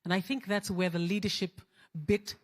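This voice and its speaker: A woman's voice: mid-range voice, flat delivery, normal speed. A woman politician from Fiji, speaking on a conference panel and telling her story candidly with hesitant fillers. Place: large conference hall. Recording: long hall reverb.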